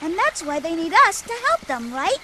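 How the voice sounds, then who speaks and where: A woman's voice: extremely high pitch, very animated, moderate pace, heavy background noise. A woman voicing a naive, cheerful young boy in a video game, earnest and inquisitive. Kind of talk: video game cutscene voice acting.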